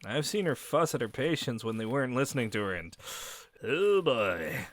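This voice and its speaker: An actor playing a friendly young male werewolf who shows a silent listener around his pack's home, easygoing and quick to laugh. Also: medium pitch, very animated, lightly acted. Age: young adult. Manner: easygoing, laughs often, answers unheard questions. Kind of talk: audio roleplay to a silent listener. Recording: dry close recording.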